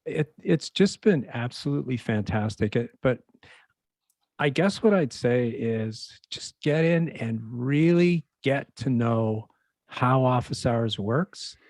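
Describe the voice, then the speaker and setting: A man's voice: medium pitch, expressive, slow, darkish tone, dry recording. A man speaking as an enthusiastic, emphatic panelist in a casual unscripted discussion. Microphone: microphone on an online video call.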